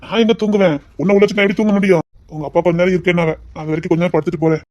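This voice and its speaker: A woman's voice: on the low side, fairly even intonation, very fast, muffled recording, close to an everyday voice. A woman playing a teasing young lover with a lilt. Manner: teasing, then softly tender. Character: young man courting his sweetheart, teasing. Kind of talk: amateur romantic drama.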